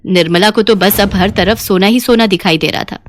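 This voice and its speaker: A female storyteller narrates a moral tale for a cartoon. Her delivery is scripted, and her pitch sits in the middle of the usual range for women. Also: brisk.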